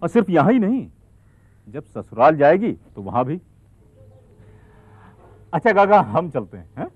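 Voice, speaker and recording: A man playing an earnest, patient young village outreach worker who gently persuades through questions and everyday examples. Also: on the high side, big pitch swings, measured pace, breathy edge, no room sound, heavily acted. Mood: friendly, persuasive. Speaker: actor in an educational training film. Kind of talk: acted film dialogue. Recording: muffled, lo-fi film soundtrack.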